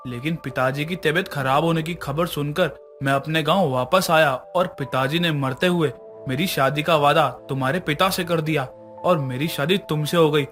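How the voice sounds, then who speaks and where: Male actor as a curt middle-aged family man in a story drama, slightly high-pitched, music behind. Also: normal speed, a bit bright, natural voice. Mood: remorseful, confessing. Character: brusque, guilt-ridden middle-aged husband and father. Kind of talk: acted dialogue for a narrated moral story.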